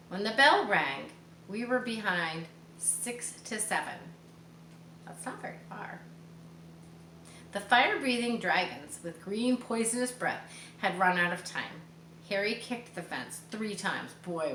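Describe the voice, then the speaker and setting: A woman with a fairly low voice reads a children's book expressively, stopping to explain things in a chatty way. She sounds a little far from the mic in an echoey room, with faint noise behind her. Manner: expressive, pauses to explain to young listeners. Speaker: storybook reader. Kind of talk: children's read-aloud with chatty asides. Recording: roomy, distant mic, faint background noise.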